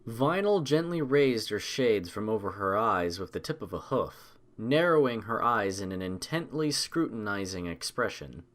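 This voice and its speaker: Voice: medium pitch, expressive, moderate pace, hardly any pauses. A narrator reading a scripted story aloud in the third person.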